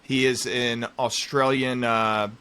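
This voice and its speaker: A man's voice: medium pitch, level tone, slow pace, bright, forceful tone, clean tone. A man in his thirties hosting a podcast in a casual, conversational style. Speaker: podcast host.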